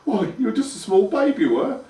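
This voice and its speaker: A man's voice: high voice, sing-song, brisk, dark tone, far from the mic, voice pushed up. A man voicing a stout, fond peasant mother who stammers when flustered. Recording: echoey room, voice from afar.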